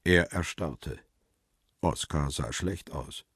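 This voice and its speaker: A hunted photographer narrating his own radio thriller. His voice is very deep and husky, recorded close and dry. He describes things in a measured, tense way. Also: a bit bright, dry recording, exaggerated voice. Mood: tense, restrained. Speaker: narrator and protagonist. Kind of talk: radio crime drama narration.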